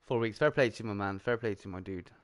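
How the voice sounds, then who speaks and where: A man's voice: on the low side, rapid-fire. A British man hosting a casual gaming livestream chat, reading out donations from the chat. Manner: reads out chat donations, chatty and upbeat. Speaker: livestream host.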